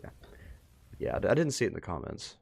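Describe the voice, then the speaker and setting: A man hosting a reaction video, casually commenting and thinking out loud as he goes. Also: low-pitched, huge pitch swings, fast, vocal fry, husky.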